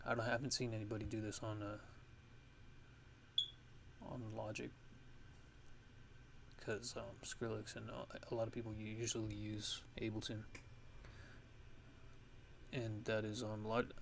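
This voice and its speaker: A man with a fairly low, very flat voice walks through a casual software tutorial. He rambles and pauses as he thinks aloud, with some gravel in his tone. Clear noise sits behind him. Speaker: music production tutorial host.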